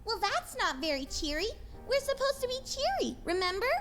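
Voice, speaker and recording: A woman's voice: extremely high pitch, big pitch swings, brisk. A woman voicing a dainty, reassuring female pony in a dramatic story reading, theatrical and coaxing. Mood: cheery insistence. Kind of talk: dramatic fan-fiction audio reading.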